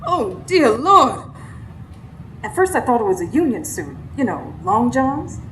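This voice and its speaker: A woman's voice: on the high side, big pitch swings, measured pace, a bit bright, exaggerated voice. A woman playing a sassy, superstitious Southern woman in a live radio drama, narrating her own confrontation dramatically. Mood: horrified. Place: studio before live audience. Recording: roomy live stage, faint noise.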